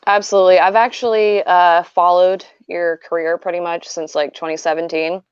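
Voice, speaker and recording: A woman's voice: lowish voice, level tone, moderate pace, clean tone, no room sound. A woman in her thirties, a fan putting questions to the guest, talking with enthusiasm and admiration and a casual "like" and "you know.". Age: 30s. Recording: dull, dry lo-fi sound.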